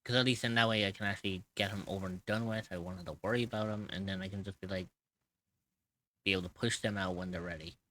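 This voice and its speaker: A man hosting a casual live stream from a home streaming mic. His voice sits a little low for a man but comes across bright and forceful. He rambles loosely and laughs often. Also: steady tone, fast, no room sound, rough recording. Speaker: streamer hosting a voice-acting session.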